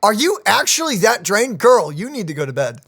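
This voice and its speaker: A woman's voice: medium pitch, normal speed, breathy edge. A young woman giving casual, slangy, reactive commentary on a video game, with game music in the background. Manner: animated, slangy exclamations. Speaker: gaming streamer.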